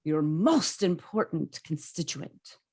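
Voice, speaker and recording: A confident, wry superhero man, played by a woman, low and husky. The lines are measured and teasing, as read at a script table read, with lively swings in pitch. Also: no room sound, clean studio sound, full character voice. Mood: courteous. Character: confident, wry male superhero from another planet.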